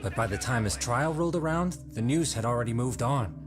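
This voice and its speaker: Casual, mid-pitched game researcher, played by a man, faint music. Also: lively intonation, fairly quick, natural voice. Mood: explaining. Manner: explanatory, casual. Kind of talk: video game cutscene dub.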